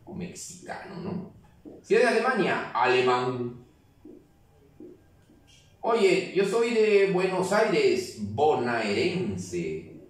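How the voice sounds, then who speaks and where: Teacher in a very echoey room. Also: male voice, mid-range voice, very animated, slow, pauses often. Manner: explains step by step, keeps checking with "¿ya?". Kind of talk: classroom grammar lesson. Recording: very echoey room, mic far off.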